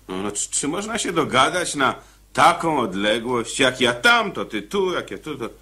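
An actor playing an irritable, stubborn convict in a radio drama, speaking in a gruff, exclamatory, grumbling way. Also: male voice, medium pitch, big pitch swings, a little slow, sharp tone. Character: irritable, stubborn male convict with blunt principles.

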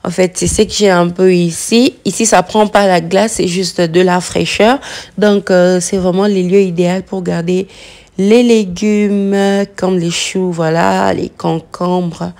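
A woman's voice: lowish voice, fairly even intonation, a little mellow. Chatty woman giving a kitchen tour. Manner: chatty, slow, with many "euh" pauses and English food words. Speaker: home cooking vlogger. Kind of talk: casual home tour and cooking narration. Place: home kitchen.

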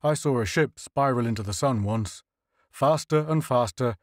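A man's voice: medium pitch, normal speed, dry recording, clean studio sound, natural voice. Man doing a wry, bold commander.

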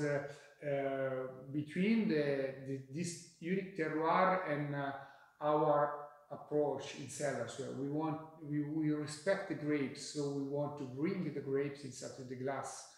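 A middle-aged Italian man whose first language is Italian, answering interview questions in halting English with many fillers, recorded by a far camera in an indoor tasting room. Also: on the high side, a little mellow. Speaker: winemaker. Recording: very echoey room.

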